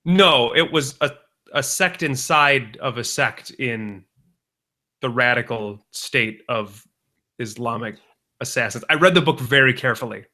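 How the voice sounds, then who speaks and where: A young man co-hosting a podcast, rambling through deadpan jokes in casual banter. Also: mid-range voice, unhurried. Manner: deadpan joking, rambling with restarts. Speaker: podcast co-host.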